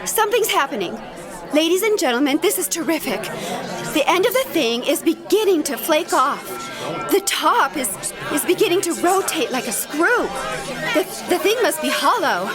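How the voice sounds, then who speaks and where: A woman's voice: sits high, moderate pace. A woman playing an earnest, dramatic female radio news reporter in an audio drama, reporting live from the scene with urgency and awe. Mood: alarmed, awestruck. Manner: dramatic, urgent, stammering in excitement. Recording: music and crowd noise behind. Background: music, busy crowd.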